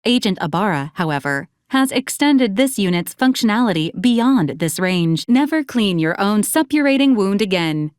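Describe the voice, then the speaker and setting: A woman's voice: medium pitch, very animated, moderate pace, few pauses, no room sound, clean studio sound, lightly acted. A woman playing a polite, literal robot assistant unit in a video game, speaking formally and precisely with clinical detachment. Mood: formal, detached. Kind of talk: scripted video game character lines.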